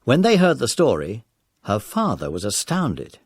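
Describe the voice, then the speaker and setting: A man narrating a children's adventure story in a measured, dramatic storytelling manner. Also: mid-range voice, wildly swooping pitch, slightly rough, no room sound.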